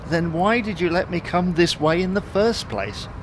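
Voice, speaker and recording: A man's voice: high voice, expressive, normal speed, noisy background. A man playing a grumpy, whining, babyish husband in an audio comedy drama, grouchy and plaintive in manner. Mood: teasing, weary.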